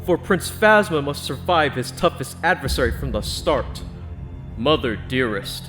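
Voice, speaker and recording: A man delivering scripted narration in a measured, theatrical storyteller manner, as the narrator of an audio story. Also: mid-range voice, animated, fairly bright tone. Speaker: audiobook narrator and channel host. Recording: music behind the voice. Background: instrumental music.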